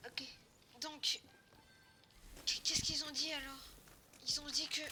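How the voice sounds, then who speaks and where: A young woman playing a forceful, confused half-zombie survivor in an improvised game roleplay, speaking informally and haltingly with repetitions. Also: high voice, level tone, soft background music, exaggerated voice. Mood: puzzling, scheming. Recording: noisy home recording with clicks.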